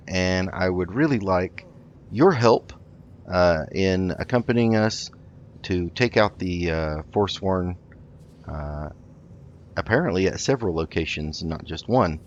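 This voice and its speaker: Low-voiced, hesitant man roleplaying a game. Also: animated, muffled recording, a little hiss or hum. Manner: polite, hesitant, halting. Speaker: gaming host. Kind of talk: roleplay game commentary, improvised in character.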